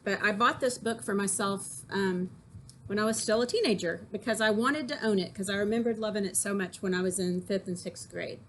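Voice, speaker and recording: A woman's voice: mid-range voice, fairly quick, slight background noise. A woman teacher talking casually to children about poems, in a conversational way that puts questions to listeners. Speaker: teacher reading to pupils.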